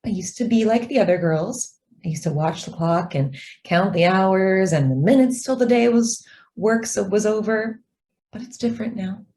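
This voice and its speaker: A woman playing a devoted, idealistic young office secretary in a radio drama, speaking earnestly with deliberate emphasis. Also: mid-range voice, mellow, natural voice. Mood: earnest, confiding. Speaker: actor.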